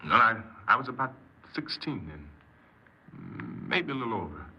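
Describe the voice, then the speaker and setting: An actor playing a troubled, defensive criminal confessing in a 1950s radio crime drama, speaking earnestly and haltingly as a proud hypnoanalyst. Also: male voice, fairly low pitch, very animated. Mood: regretful. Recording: very noisy, muffled 1950s radio recording.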